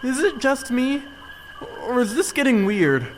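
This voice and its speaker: A woman's voice: sits high, sing-song, a little slow, slight background noise. A woman playing an anxious, hesitant young boy in an audio drama, nervous and faltering. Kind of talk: scripted audio drama acting.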